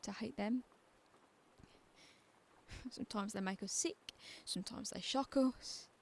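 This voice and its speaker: A woman's voice: medium pitch, measured pace, vocal fry, a little mellow, slight background noise. A woman playing a defiant, bitter asylum patient in a radio drama, with a probing, wry edge to her talk. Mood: reluctant, wounded.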